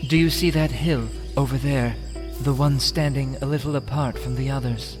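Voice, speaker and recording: A man acts out a grave, watchful elf scout. His voice sits well above the performer's own, measured and serious, with clear music playing behind it. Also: mid-range voice, steady tone, voice pushed up, close to an everyday voice. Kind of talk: audiodrama character dialogue.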